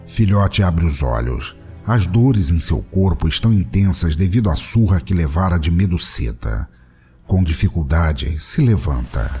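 Deep-voiced Brazilian man narrating over music. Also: lively intonation, unhurried. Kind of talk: scripted comic story narration.

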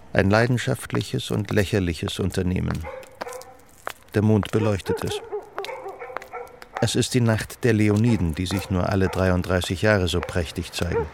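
Low, gravelly male narrator. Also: noisy background. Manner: measured, literary storytelling. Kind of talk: scripted radio drama narration.

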